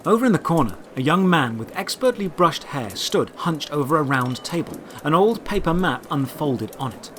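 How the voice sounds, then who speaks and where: A man narrating a scripted fantasy adventure in the first person, with deliberate, theatrical storytelling delivery. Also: a little high, expressive, slight background noise. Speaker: narrator and writer of the series.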